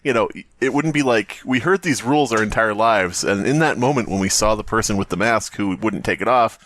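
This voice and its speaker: A man's voice: on the low side, fairly quick, fairly bright tone, slight background noise. A man co-hosting a podcast, bantering casually in a rambling, sarcastic way as he riffs on a story. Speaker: podcast co-host. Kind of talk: casual comedic banter and riffing on a story read aloud.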